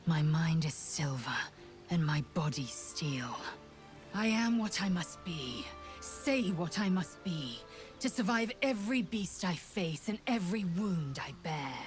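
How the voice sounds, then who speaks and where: An actress playing a fierce, battle-hardened alien warrior woman in a video game, clipped, commanding and formal, with a hushed edge. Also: lowish voice, expressive, unhurried, faint music behind. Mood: proud, grim. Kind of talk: video game character dialogue.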